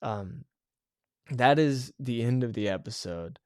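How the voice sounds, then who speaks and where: A man's voice: medium pitch, animated, takes it slowly, darkish tone, clean tone, no room sound, clean studio sound. A man hosting his own podcast, thinking aloud in a reflective way, a little stuffy from allergies. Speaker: podcast host, game developer. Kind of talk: solo podcast monologue.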